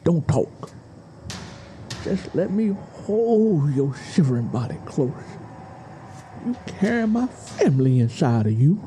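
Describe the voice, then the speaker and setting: Audiobook villain: male reader as young man, high insistent voice, folksy, coaxing, controlling. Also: very animated, slow, mellow, a little hiss or hum. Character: possessive menacing young Southern man, sweet-talking bully.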